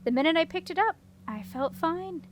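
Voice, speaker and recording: A woman voicing a composed, commanding female dragon monarch with a mild, measured, dryly amused manner. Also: extremely high pitch, animated, a little hiss or hum, pitched above the performer's own voice. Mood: dryly regal.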